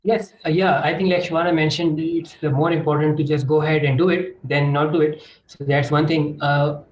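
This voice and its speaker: Man, explanatory panelist, raised pitch, reverberant room. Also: level tone, fairly quick, highs cut off. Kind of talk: explanatory advice on an online panel. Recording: echoey room on a call.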